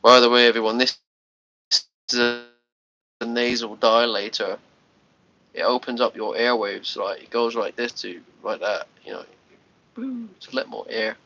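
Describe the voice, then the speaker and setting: A man's voice: mid-range voice, expressive, measured pace, long pauses, sharp tone, a little hiss or hum. A man in his thirties with a Scottish accent, chatting casually to viewers on a livestream from his bedroom, rambling and restarting his words, a little congested. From Scotland. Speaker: livestream host. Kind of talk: casual live chat with viewers. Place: small bedroom, lying on a sofa. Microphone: phone held at arm's length. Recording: lo-fi phone livestream audio.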